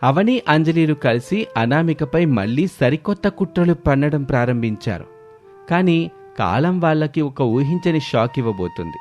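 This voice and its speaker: A man's voice: mid-range voice, darkish tone. Male storyteller over background music. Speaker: story narrator. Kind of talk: scripted moral story narration. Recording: music bed under the voice.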